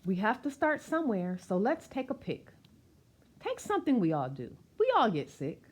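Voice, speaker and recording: Very animated woman reading a storybook aloud. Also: on the high side, moderate pace, husky. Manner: expressive, emphatic, asking the listeners questions. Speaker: storyteller reading a picture book to children. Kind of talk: animated read-aloud of rhyming picture book with asides.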